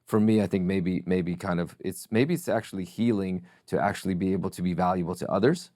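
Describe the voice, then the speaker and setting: Low-voiced reflective Russian-born man. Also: fairly even intonation, warm tone, no echo, studio quality. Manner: reflective, measured storytelling. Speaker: podcast guest. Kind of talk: casual interview chat.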